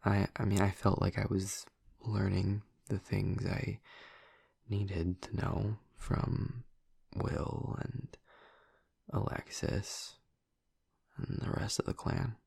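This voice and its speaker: Hushed, gravelly young male vampire played by a man. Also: lowish voice, steady tone, slow pace, pauses often, dry recording, studio quality, full character voice. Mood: hesitant, reflective. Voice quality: breathy, husky, gravelly murmur. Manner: hesitant, rambling, casual. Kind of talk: intimate audio roleplay addressed to the listener.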